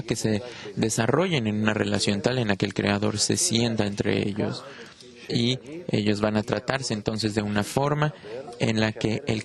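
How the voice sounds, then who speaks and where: A man with a low, gravelly voice gives an unscripted lesson in a language that is not his first. He talks haltingly, with frequent pauses and restarts. A crowd can be heard clearly behind him. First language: not Spanish. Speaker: Kabbalah teacher. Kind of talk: unscripted lecture.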